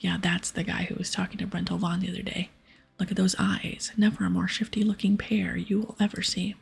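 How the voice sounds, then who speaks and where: Woman reading as chatty, helpful fantasy-game innkeeper, very breathy half-whisper, earnest. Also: fairly low pitch, flat delivery, fairly quick, faint music behind, full character voice. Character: friendly tavern innkeeper, gossipy and helpful.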